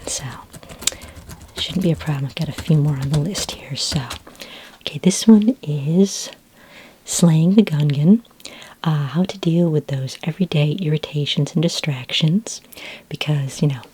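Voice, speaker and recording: A woman speaking in a soft, breathy, whispery voice for an ASMR roleplay, playing a friendly, patient librarian who talks gently, helpfully and without hurry. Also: sits low. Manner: gentle, unhurried, helpful, conversational. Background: computer keyboard typing.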